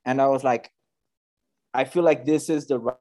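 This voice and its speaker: A man's voice: medium pitch, steady tone, normal speed, warm tone. A man in his thirties from the Bay Area, a church planter giving earnest, conversational interview answers full of fillers and restarts. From United States, Bay Area.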